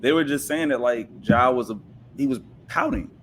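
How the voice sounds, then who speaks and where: A man's voice: medium pitch, moderate pace, fairly bright tone, slight background noise, low-quality recording. A man speaking as a podcast guest in casual sports chat, telling and explaining stories colloquially and checking in with listeners. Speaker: podcast guest discussing football.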